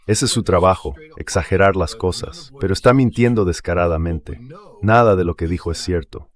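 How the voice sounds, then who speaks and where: Really deep male voice, dubbed political pundit, blunt and explains stuff firmly. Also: normal speed. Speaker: political commentator, interview guest. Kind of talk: translated voice-over of political commentary.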